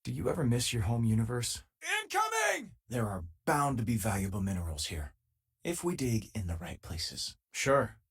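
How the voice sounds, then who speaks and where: An actor playing an easygoing, wisecracking spacefaring companion in a video game, talking in a casual, wry, conversational way. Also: male voice, mid-range voice, wildly swooping pitch, normal speed, vocal fry, breathy edge, exaggerated voice. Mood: urgent, shouting. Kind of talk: video game voice acting, conversational companion lines and combat callouts.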